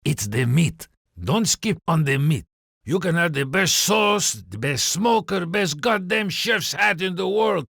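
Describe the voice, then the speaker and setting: An actor playing a short-tempered, boastful ranch pitmaster in a video game, swearing in a gravelly drawl. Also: male voice, high-pitched, animated, measured pace, a bit bright, no echo, studio quality, heavily acted.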